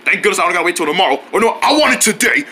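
A man voicing a clumsy, naive, excitable cartoon fellow who stammers and exclaims, in an amateur cartoon style. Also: high-pitched, racing, breathy edge, voice pushed down, exaggerated voice. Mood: eager.

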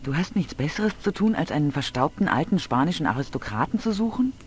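An actress playing a blunt, sharp-tongued, exasperated young woman in a video game. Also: low voice, sing-song, quick pace, slight background noise. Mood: exasperated, scolding. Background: game music.

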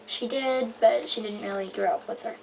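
A woman giving a casual, rambling show-and-tell in a hesitant, halting way that often trails off. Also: on the high side, steady tone, vocal fry. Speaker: hobbyist giving a toy horse stable tour. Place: indoors in a room at home. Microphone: handheld camera held close by the speaker. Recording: noisy amateur home camera recording.

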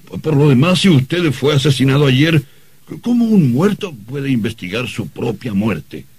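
A man's voice: mid-range voice, sing-song, a little mellow, husky, no room sound, heavily acted. An actor playing a perplexed, hesitant man who stammers through his questions in an old radio drama. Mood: baffled, hesitant. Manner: stammering, halting.

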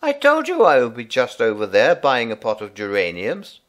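A man's voice: mid-range voice, wildly swooping pitch, moderate pace, fairly bright tone. A man voicing a flustered, doting young mother in a storybook, with a raised, breathless delivery.

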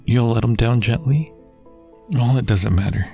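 A man plays a lovestruck young man in an intimate ASMR roleplay, devoted and anxious. His voice is mid-pitched, husky and creaky, with earnest, hesitant phrasing. Faint music sits behind him. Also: moderate pace, dark tone, natural voice. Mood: tender, sleepy.

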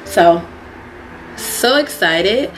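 A young woman vlogging an unboxing in a small living room, chatty and excitable, a little sniffly from a cold. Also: medium pitch, extremely slow, over music, rough recording. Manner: chatty and excitable, addresses viewers as y'all. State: sniffly, getting over a cold. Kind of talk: casual unboxing vlog.

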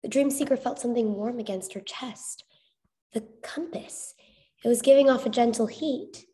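A young adult woman reading a children's story aloud as expressive scripted narration. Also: a little high, moderate pace.

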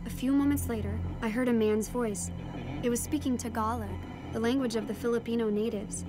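High-voiced teenage girl narrator, played by a woman. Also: natural voice. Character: teenage daughter recounting her ocean adventure. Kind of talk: scripted first-person audio fiction narration.

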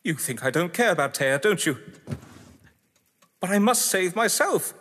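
An actor playing a frightened, indignant husband caught in a deadly game, speaking in an articulate, theatrical audio drama style. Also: male voice, high voice, lively intonation, unhurried, fairly bright tone, full character voice. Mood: anguished, indignant. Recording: long reverb, background noise.

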